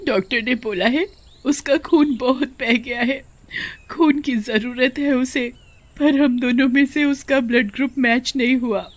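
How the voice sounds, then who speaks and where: A woman voices a distraught mother in a cartoon moral tale. Her voice is raised high, anguished and tearful, stretching out her words in pleading grief. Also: fairly even intonation, unhurried. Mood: tearful distress. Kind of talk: Hindi moral story cartoon acting.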